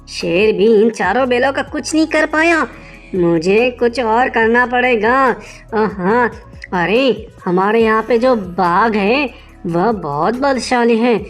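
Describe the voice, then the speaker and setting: A woman voicing a sly, scheming little female wolf who flatters and wheedles in a children's animal story. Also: fairly high pitch. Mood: frustrated, plotting.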